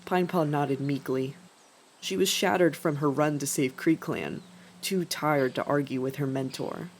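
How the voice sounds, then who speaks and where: A woman's voice: sits low, measured pace, a little hiss or hum. A woman reading scripted fiction as a dramatic narrator. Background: music.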